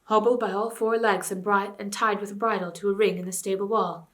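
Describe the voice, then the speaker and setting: A woman's voice: medium pitch, fairly even intonation, brisk, close to an everyday voice. A woman voicing a loyal, firm unicorn. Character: loyal male unicorn, proud and keen.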